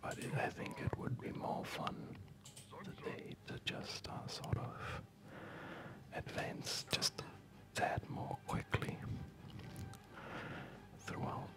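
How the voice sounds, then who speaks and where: Whispering man, slow ASMR game commentary. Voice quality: soft breathy whisper. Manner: relaxed, unhurried, chatty. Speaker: host of an ASMR gaming channel. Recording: background game music. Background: loud game music.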